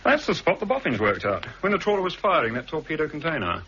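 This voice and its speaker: A man playing a brisk, cool-headed government agent in a 1960s radio drama, speaking with crisp, dry understatement. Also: a little high, sing-song, sharp tone. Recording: dull archive radio recording with faint hiss.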